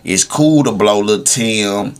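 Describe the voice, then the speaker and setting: A man's voice: fairly low pitch, slow pace. A middle-aged man in casual, slangy podcast conversation, swearing freely and repeating himself for emphasis. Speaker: podcast guest.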